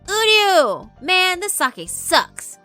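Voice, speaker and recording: A woman voicing a rude, drunken male bully in a visual novel, jeering and yelling in bursts. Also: very high-pitched, very animated, very slow, faint music behind, voice pushed up, full character voice. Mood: rowdy, belligerent. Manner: loud, jeering, shouted bursts.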